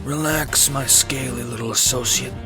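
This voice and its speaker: A man voicing an oily, menacing crocodile crime boss with a fake Godfather accent and a slow, falsely genteel way of talking. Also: medium pitch, fairly even intonation, music in the background, voice pushed up, natural voice, poor audio. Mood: oily, courtly. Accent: fake Godfather mobster accent.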